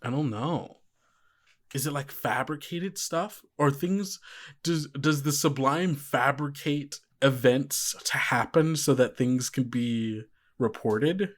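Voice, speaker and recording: A man's voice: mid-range voice, slow pace. A man casually improvising as game master of a podcast tabletop game, thinking aloud and often putting questions to his player.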